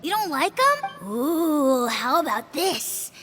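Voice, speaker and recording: A woman's voice: high voice, sing-song, slow, a bit bright, a little hiss or hum, exaggerated voice. A woman voicing an eager, mischievous, overenthusiastic child in a video game.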